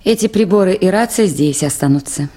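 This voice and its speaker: A woman's voice: fairly low pitch, animated, brisk, warm tone. An actress playing a composed, dutiful young woman river-station worker in a radio play. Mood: calm, firm. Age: young adult. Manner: composed, earnest. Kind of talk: 1980s Soviet radio play acting.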